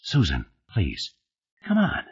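A man's voice: lowish voice, wildly swooping pitch, takes it slowly, slightly rough, muffled recording, pitched above the performer's own voice, exaggerated voice. A man voicing a tired father and husband in a novel, patient until irritated. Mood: weary.

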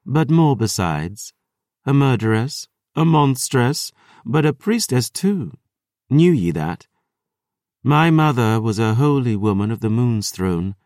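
A man's voice: mid-range voice, a little mellow, no echo, studio quality, pitched above the performer's own voice. A man voicing a cruel female vampire who sneers in old-fashioned speech full of thee and ye.

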